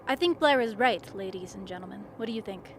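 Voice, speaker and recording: A woman's voice: mid-range voice, a little fast, over a noisy crowd. A woman playing a composed, wry expedition team leader in a radio play. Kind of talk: dramatic radio play acting. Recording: clear steady background noise.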